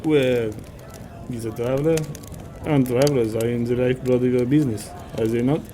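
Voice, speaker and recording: A man's voice: medium pitch, steady tone, fairly quick, lightly acted. A man acting a shrewd lawman amid noisy ambience. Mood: probing politeness. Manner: courteous, probing, formal. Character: sanctimonious, shrewd village lawman, a male peacekeeper. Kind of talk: audio drama dialogue. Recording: clear background ambience noise.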